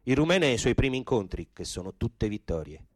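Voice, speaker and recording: Guy narrating a radio play, theatrical and rhythmic, pitch moves around a lot, very dry sound. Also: sing-song, quick pace, dry recording. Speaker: actor-narrator.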